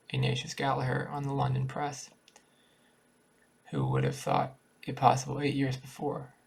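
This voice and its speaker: A man reading a literary short story aloud in a halting way, sometimes stumbling over words. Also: mid-range voice, creaky, breathy edge, low-quality recording. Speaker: amateur story reader.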